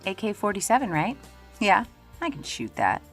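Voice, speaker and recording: A woman's voice: medium pitch, very animated, moderate pace, fairly bright tone, breathy edge, soft background music, heavily acted. A woman playing a cool, capable ally in a radio play thriller, speaking in terse, unfazed lines. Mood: cool, assured.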